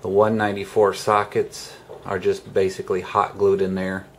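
Slow, gravelly man explaining a DIY job. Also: low voice, a little hiss or hum. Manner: halting, pausing mid-sentence in short phrases. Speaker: homesteader host of a DIY tutorial. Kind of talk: casual hands-on how-to explanation.

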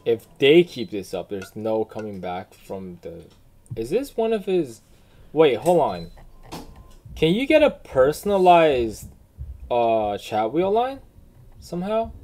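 A man's voice: mid-range voice, very animated, slow, mellow. A man giving blunt, informal reaction commentary as a gaming video creator, swearing casually. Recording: faint background noise, keyboard typing. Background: computer keyboard typing and clicks.

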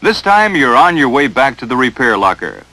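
A man's voice: medium pitch, sing-song, normal speed, pushed and bright, no echo, poor audio. An American man narrating a 1940s instructional film in a stern, emphatic, dramatic coaching manner, on a vintage film soundtrack.

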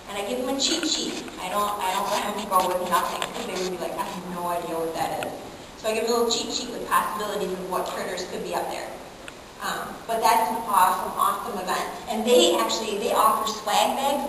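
An American woman speaking as an instructor in an informal seminar, with an animated, emphatic manner, often checking in with her listeners. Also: fairly high pitch. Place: conference meeting room, indoors. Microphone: far camera in the audience. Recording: echoey room from far off, background noise.

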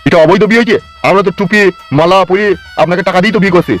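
A man's voice: sits high, rapid-fire, faint music behind, close to an everyday voice. A man voicing a stingy, grumbling bridegroom in a comic cartoon, complaining and argumentative.

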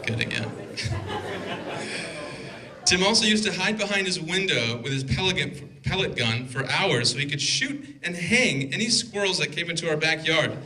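A man's voice: a little high, lively intonation, moderate pace, crowd noise. A man in his twenties giving a wedding toast as best man, reading from notes into a handheld mic with a dry, teasing delivery. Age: 20s. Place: wedding reception hall, indoors. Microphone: handheld microphone. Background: guests laughing.

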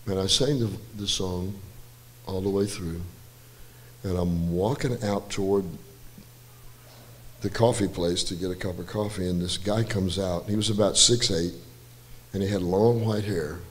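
An older man, a guest musician, telling a relaxed, rambling story with self-deprecating asides at a church, his voice slightly hoarse, on a handheld mic. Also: deep voice, measured pace, slight background noise. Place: church stage, large indoor room. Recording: reverberant church hall with faint music.

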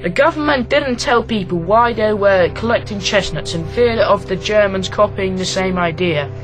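A young man from Latvia reading aloud a school heritage project, careful and a little halting, with faint music underneath. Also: sits high. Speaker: student presenter.

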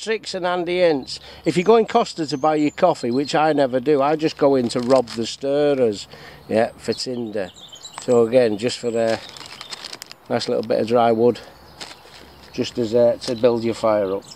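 A man's voice: mid-range voice, measured pace, long pauses, a little mellow. A middle-aged English man with a jokey, chatty manner, showing his bushcraft gear outdoors and asking himself questions before answering them. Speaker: bushcraft vlogger. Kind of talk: casual outdoor demonstration. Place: woodland camp outdoors. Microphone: action camera on chest or tripod. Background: birdsong and faint outdoor noise.

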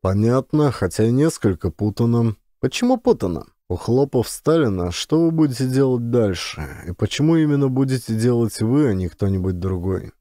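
A man voicing a measured, sceptical older male intellectual in audiobook dialogue, dry and questioning. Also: medium pitch, lively intonation, mellow, dry recording, lightly acted.

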